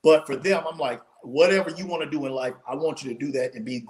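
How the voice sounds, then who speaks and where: Guest author, forties, male, animated storyteller on a home video call, voice tiring. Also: on the high side, fast talker. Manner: animated, storytelling with many restarts. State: losing his voice. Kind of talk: casual interview storytelling. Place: home room, indoors.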